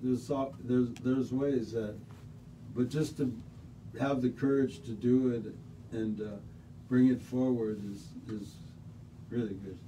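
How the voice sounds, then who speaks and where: Dark-voiced man chatting in a radio studio. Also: medium pitch, takes it slowly, slight background noise. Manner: relaxed, rambling with many fillers. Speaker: studio guest musician. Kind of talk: casual radio chat. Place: small indoor radio studio. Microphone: studio mic on stand, headphones on. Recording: slightly distant mic.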